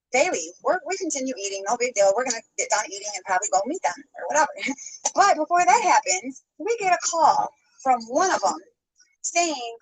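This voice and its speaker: A woman's voice: sits high, poor audio. A woman telling a rambling story, hedging often and breaking into little laughs. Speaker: storytime YouTuber.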